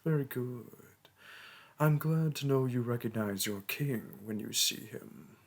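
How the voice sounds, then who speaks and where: A man playing a vain, haughty mage king in a theatrical audio roleplay, speaking with condescending pomp and a breathy voice. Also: mid-range voice, unhurried. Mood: haughty, mock-gracious. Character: pompous, vain mage king, haughty toward his peasant subject. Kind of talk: theatrical roleplay monologue and storytelling.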